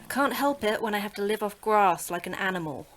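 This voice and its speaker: A woman's voice: mid-range voice, animated, brisk, a bit bright, close to an everyday voice. A woman playing the terse, dry-witted manager of a secret facility in a sci-fi horror audio drama.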